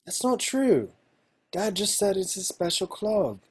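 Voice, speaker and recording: A man's voice: sits high, big pitch swings, a little slow, breathy edge. A man reading a pleading part in an amateur table read over a video call.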